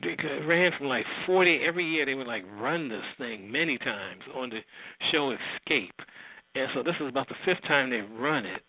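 A man hosting with a casual, halting, informal spoken introduction. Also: medium pitch, lively intonation, no echo. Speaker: host of an old-time radio show podcast. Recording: very muffled, narrow-band, dry sound.

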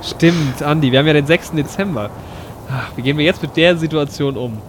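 A man's voice: on the high side, a little fast, background noise. A man narrating a half-scripted, improvised audio play as game master, slipping between storytelling and playful role-play. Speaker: narrator and game master, also playing an adventurer.

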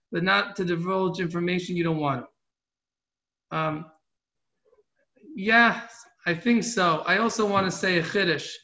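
This is a middle-aged man with a high-pitched, forceful voice, teaching an informal religious class. He speaks earnestly and haltingly, often repeating a phrase before finishing the thought. The recording is echoey and somewhat muffled. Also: gravelly. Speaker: rabbi giving a class. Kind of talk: informal religious lecture with question and answer.

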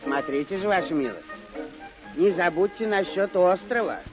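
Super high voiced simple peasant squire, folksy n jolly, old radio play, loud music under. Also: male voice, lively intonation, moderate pace, low-quality recording. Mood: eager, deferential. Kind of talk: vintage radio play acting. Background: prominent music.

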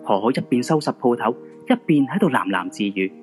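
Male narrator, Hong Kong Cantonese, middle pitch, scripted dramatic storytelling, radio drama, soft music underneath. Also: big pitch swings, fairly quick.

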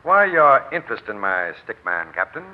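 An actor playing a smooth, evasive gambling club boss with a formal, measured manner in a 1940s radio crime drama. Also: male voice, mid-range voice, sing-song, lightly acted. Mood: smooth, guarded. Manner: formal, measured, self-possessed. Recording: muffled, noisy old radio broadcast.

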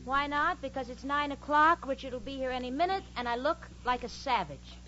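A woman's voice: high voice, fairly quick, sharp tone. An actress playing an exasperated, sensible young woman with emphatic, dramatic 1940s radio comedy delivery. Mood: flustered. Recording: muffled, noisy old radio recording.

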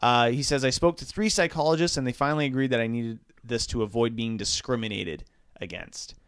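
A man co-hosting a podcast, reading out an odd news story in a relaxed, hesitant way with lots of fillers. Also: mid-range voice, fairly quick, clean tone, dry recording, studio quality. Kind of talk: casual chat.

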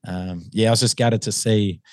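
A man with a New Zealand accent, an assistant rugby coach talking as a guest, his voice a little hoarse and his speech full of ums and restarts. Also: lowish voice, steady tone, normal speed, no room sound, clean studio sound. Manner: hesitant, many ums and restarts. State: slightly hoarse. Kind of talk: casual interview chat.

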